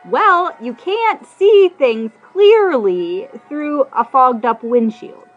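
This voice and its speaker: A woman's voice: high-pitched, animated, slow. An American woman from Ohio answering questions casually as a podcast guest. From Ohio, United States. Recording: dull lo-fi audio with music.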